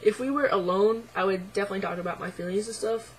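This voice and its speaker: A young man talking hesitantly to camera in a casual video diary, his voice midway through deepening and a little unsettled, with many ums and likes. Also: fast, slight background noise, low-quality recording. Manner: hesitant, lots of ums and likes, quiet and reflective. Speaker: vlogger.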